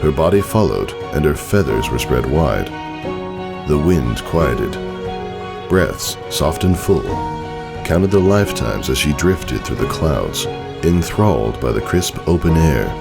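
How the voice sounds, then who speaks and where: A man reading a scripted story as narrator, with dramatic, measured phrasing and deliberate pauses. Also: rumbling low, big pitch swings, creaky. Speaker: fan fiction narrator. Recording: music under the voice. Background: background music.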